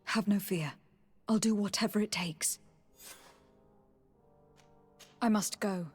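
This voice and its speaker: A woman's voice: medium pitch, normal speed, darkish tone, breathy edge, soft background music, natural voice. An actress playing a young noblewoman envoy in a video game, composed, determined and commanding. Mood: concerned, resolute. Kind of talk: video game cutscene acting.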